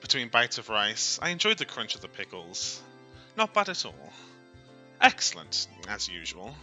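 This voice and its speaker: A man reading a visual novel aloud on a stream as dramatic, expressive narration, in a theatrical storytelling way. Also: on the low side, moderate pace, soft background music.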